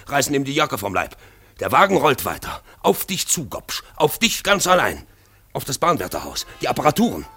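A gravelly, insistent male voice of conscience in a radio drama. He addresses his man directly and dramatically, with big swings in pitch, taunting and demanding answers. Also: pushed and bright, full character voice. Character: relentless inner voice taunting and questioning a railway guard. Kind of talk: German radio play acting.

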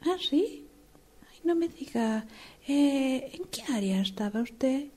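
Una actriz de radioteatro que interpreta a una exgerente de mediana edad, humillada y desesperada por conseguir trabajo, con habla vacilante y deferente. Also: female voice, high-pitched, lively intonation, a little mellow, exaggerated voice. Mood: nervous, polite. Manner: hesitant, halting, deferential. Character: desperate middle-aged female job seeker, humbled former manager. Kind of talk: radio drama acting.

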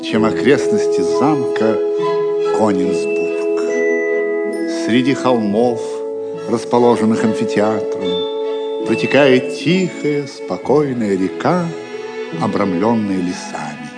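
A man narrating an audio play in an expressive, solemn storytelling style. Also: on the low side, slow. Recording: music under the voice. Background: music.

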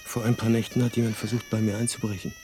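A low-pitched man played by an actor speaks as a troubled writer in a radio play. His delivery is natural, hesitant and probing. Chirping crickets sound clearly behind him. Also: level tone, fast, warm tone, slight background noise, lightly acted. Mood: uneasy, probing. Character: uneasy American male writer, haunted by a violent deed. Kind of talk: naturalistic radio drama dialogue. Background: crickets, outdoor ambience.

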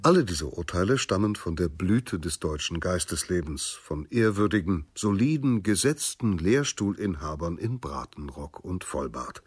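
A man with a husky voice giving emphatic, expressive scripted documentary narration. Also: low-pitched, normal speed, hardly any pauses, faint music behind.

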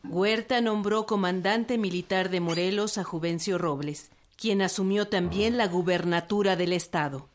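A woman's voice: lowish voice, moderate pace, few pauses, slight background noise. A woman reading scripted narration for a historical radio drama. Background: music.

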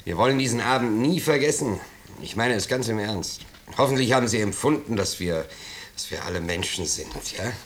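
An actor playing an anxious, ingratiating middle-aged bourgeois host in a 1950s radio play, pleading and blustering. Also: male voice, fairly low pitch, lively intonation, brisk, natural voice. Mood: effusive, pompous. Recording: noisy 1950s radio recording.

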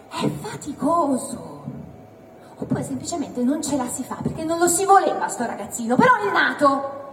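A woman delivers a stand-up monologue. She is mock-earnest and provocative, leaning on rhetorical questions. The recording is echoey and distant, as if in a hall. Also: sits high. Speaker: stand-up comedian. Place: live indoor theatre venue. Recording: echoey hall, distant mic, faint noise.

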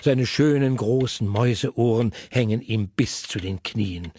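A man narrating a children's audio story in an expressive, playful way. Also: mid-range voice, measured pace, a little hiss or hum.

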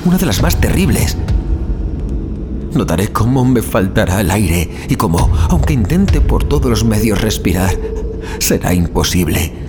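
A low male voice of an actor plays a doomed car passenger in an audio horror monologue. His delivery swings widely in pitch, anguished and morbidly fatalistic, broken by sighs and dramatic pauses, with clear music behind him. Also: sing-song. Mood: anguished terror. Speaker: narrator of audio fiction.

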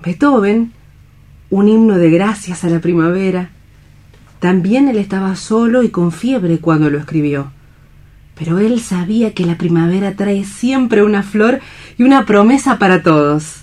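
A woman playing a gentle, hopeful woman in a radio play, speaking earnestly and with careful formality. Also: mid-range voice, animated, unhurried. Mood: gentle concern. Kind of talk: theatrical radio play reading.

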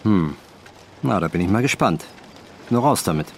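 An actor playing a calm, courteous senior policeman who probes tersely in a German radio drama. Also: deep voice, normal speed, slight background noise. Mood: dry, curious. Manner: dry, polite, terse questioning.

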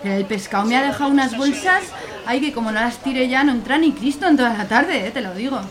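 Radio serial: brassy middle-aged cleaning woman played by an actress, Aragonese, highish voice, teasing grumbler, busy crowd behind. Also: brisk, lightly acted. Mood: exasperated. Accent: Aragonese Spanish. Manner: salty, colloquial, exclamatory. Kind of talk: radio serial comedy acting.

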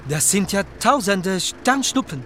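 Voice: medium pitch, lively intonation, measured pace, fairly bright tone, slight background noise. An actor playing a curious, down-to-earth taxi driver who asks questions in naturalistic radio drama dialogue. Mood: amazed.

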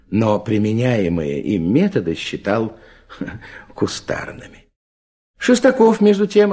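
A man's voice: mid-range voice, mellow. A man narrating a literary story as a scripted drama narrator, with wry irony.

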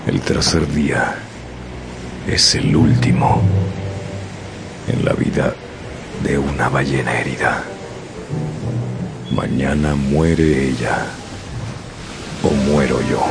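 An actor playing an obsessed old sea captain in a radio drama, speaking slowly in a grim, brooding way. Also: male voice, extremely low pitch, fairly even intonation, vocal fry, heavily acted. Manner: slow, brooding, half-whispered. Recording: music under the voice.

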